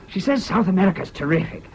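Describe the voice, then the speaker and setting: Troubled young Englishman, lightish pitch, excitable yet unsure, for a hissy vintage radio play. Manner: hesitant, earnest, swinging between gloom and giddy excitement. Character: troubled, boyish, excitable but self-doubting young man. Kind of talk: British radio drama acting. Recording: dull, hissy old radio recording.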